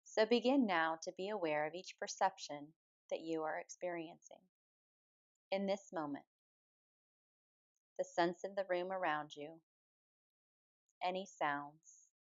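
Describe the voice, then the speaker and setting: A woman with a fairly low voice guides a deep relaxation practice. She speaks calmly and soothingly, giving measured instructions as a yoga nidra teacher. The recording is a little dull and muffled. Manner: calm, measured, soothing delivery. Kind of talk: guided meditation, slow spoken relaxation instruction.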